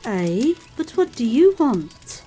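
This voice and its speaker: Woman playing a calm wistful fate goddess, mellow voice, audio drama, measured. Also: a little high, faint music behind. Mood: calm, practical. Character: calm, wistful female fate spirit, curious about mortals. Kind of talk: scripted audio drama dialogue.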